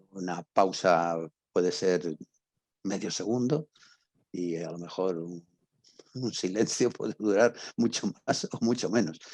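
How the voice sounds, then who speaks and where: A middle-aged man with an accent from Spain lecturing informally, explaining with examples, repeating phrases and pausing to stress key words. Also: low voice, darkish tone, no echo. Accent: Spanish from Spain. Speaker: university lecturer in radio drama.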